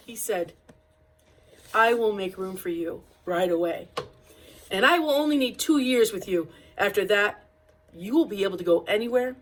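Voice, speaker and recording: A woman's voice: medium pitch, poor audio. A woman reading a children's picture-book biography aloud as storytelling narration, with an occasional small stumble.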